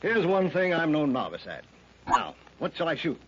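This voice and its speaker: A man's voice: a little high, big pitch swings, moderate pace, a bit bright. An actor playing a jovial, boastful male expedition leader in a 1930s radio drama. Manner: jovial, boastful, then sheepish. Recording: dull 1930s radio recording, faint hiss.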